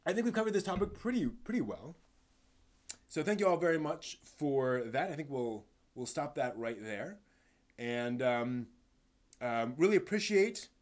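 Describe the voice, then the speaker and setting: Halting, casual male live stream host. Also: medium pitch. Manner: halting, rambling, stumbles over words. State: tired. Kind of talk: casual live stream chat, answering chat questions.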